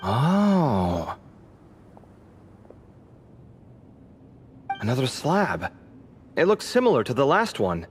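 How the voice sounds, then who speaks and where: A sarcastic, lively young scholar. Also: male voice, mid-range voice, unhurried, slight background noise. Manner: sarcastic, expressive. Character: sarcastic, well-read young male scholar.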